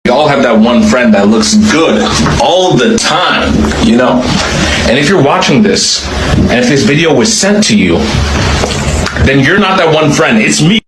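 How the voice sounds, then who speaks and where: A man's voice: mid-range voice, expressive, measured pace, pauses often, roomy sound, noisy background. A man talking to camera in a teasing, cocky way.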